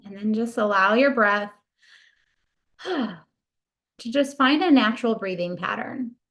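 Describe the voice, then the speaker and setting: A woman leading a guided body scan meditation in a soothing, gentle, unhurried manner. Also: on the high side.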